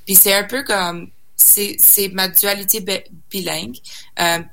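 A woman's voice: medium pitch, steady tone, measured pace, pushed and bright, rough recording. A young woman from Saskatchewan, a singer guest in a casual interview, talking hesitantly with many fillers and restarts and slipping in English words. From Saskatchewan, Canada.

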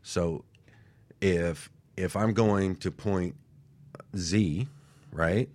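A man's voice: deep voice, very slow, darkish tone. A man co-hosting a motivational talk show, explaining ideas at length, repeating himself and often checking in with "right?". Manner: rambling, repeats words, tags lines with "right?". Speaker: co-host.

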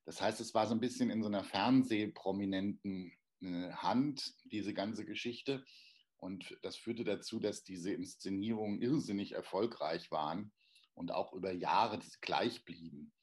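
A playwright with a Hessian accent, chatting in an interview and often searching for words and restarting. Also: male voice, fairly low pitch, a little slow, a little mellow. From Darmstadt, Germany. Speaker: playwright guest. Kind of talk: casual podcast interview.

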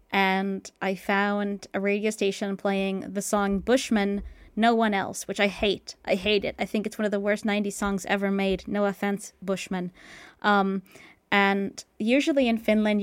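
A guest on a casual podcast, telling personal stories in a chatty way with plenty of fillers. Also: female voice, mid-range voice, clean tone, no room sound. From United States. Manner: chatty, storytelling with many fillers.

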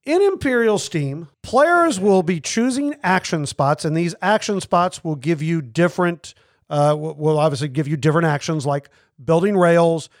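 A man's voice: on the high side, moderate pace, no room sound, studio quality. A man hosting a casual board game review chat, explaining the game with lots of fillers and restarts. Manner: rambling, many fillers and restarts. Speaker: board game podcast host.

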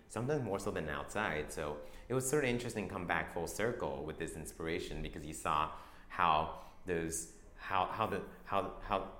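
Low-voiced man in an echoey room. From Taiwan. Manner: soft-spoken, halting, many restarts and fillers. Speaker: fashion designer. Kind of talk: interview answers. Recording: echoey room with faint ambience.

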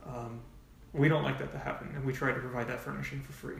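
Brief: quick-talking gravelly man, frank and explanatory, heard from a distance in an echoey room. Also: mid-range voice, flat delivery, a bit bright, a little hiss or hum. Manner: frank, explains in long runs with asides. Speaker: nonprofit volunteer coordinator, guest. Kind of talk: casual podcast interview.

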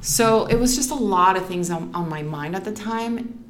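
A woman in her forties from Miami whose first language is Spanish, telling her story as a news anchor guest in a relaxed interview. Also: medium pitch, moderate pace, a crowd in the background. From Miami, Florida. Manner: reflective, storytelling, laughs easily. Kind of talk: casual interview conversation.

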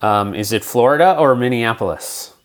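A man's voice: mid-range voice, moderate pace. A man hosting a podcast interview, talking in a friendly, loose way with fillers and small stumbles. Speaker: podcast host.

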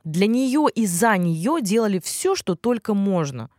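A woman tells a children's fairy tale as scripted narration. Her medium-pitched voice moves widely up and down with the story. The recording is dry, with no room sound around her. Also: sing-song, unhurried, darkish tone, dry recording.

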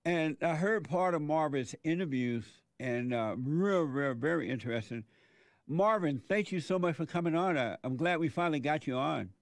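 Male podcast host, fairly high voice, dry sound, friendly and easygoing. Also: animated, moderate pace, no echo. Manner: easygoing, enthusiastic, brief reactions. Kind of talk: interview hosting.

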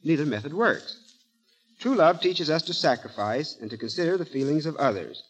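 A man with a slightly high voice speaks like a professor. His delivery is formal, precise and didactic, clearly read from a script for 1930s radio. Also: lively intonation, normal speed, slight background noise, low-quality recording. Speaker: guest psychologist, professor.

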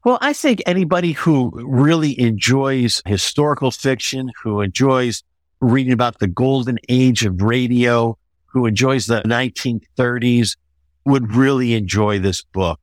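A man's voice: mid-range voice, measured pace, dry recording. A man talking as a guest author in an interview, discussing his own work conversationally.

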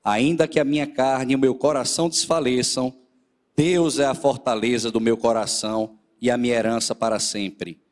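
A man, a presbyter leading a church service, a little nasal and hoarse from a cold, speaking earnestly to the congregation. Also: medium pitch, fairly even intonation, moderate pace, long reverb tail. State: still a bit hoarse after the flu. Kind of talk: sermon with scripture reading.

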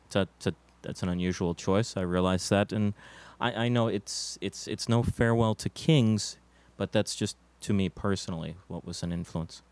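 A man playing a musician in a deadpan mock interview, halting and stammering, earnest but evasive. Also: low-pitched, dry recording. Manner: hesitant and full of fillers. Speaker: interviewed musician in a comedy sketch.

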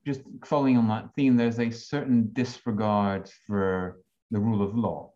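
A man's voice: fairly low pitch, fairly even intonation, moderate pace, mellow, muffled recording. A French-born man on a discussion panel, talking with many restarts and fillers. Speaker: panel guest.

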